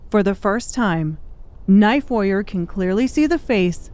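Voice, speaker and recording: A woman's voice: medium pitch, expressive, measured pace, darkish tone, no echo. A woman reading scripted, dramatic audiobook narration.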